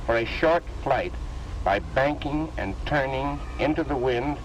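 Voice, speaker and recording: An American man delivers scripted narration for a 1930s newsreel, with a jaunty, folksy touch and a medium-pitched voice. The sound is an old film track with some noise under it. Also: expressive, unhurried, rough recording.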